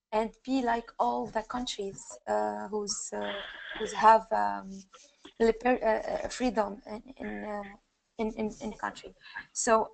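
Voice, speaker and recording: A woman in her twenties from Syria with a Syrian Arabic accent, giving a personal account on a panel in halting, non-native English, often searching for words. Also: fairly high pitch, vocal fry, a little hiss or hum, poor audio. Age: 20s. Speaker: activist and panelist.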